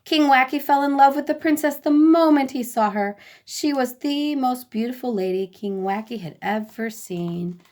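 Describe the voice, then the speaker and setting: A woman storyteller reading a children's bedtime story in a deliberate, expressive way. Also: high-pitched.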